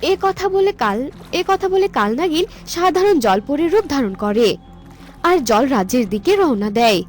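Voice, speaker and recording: A woman's voice: high-pitched, very animated, a little slow. A woman narrator reading a scripted cartoon fairy tale. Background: music.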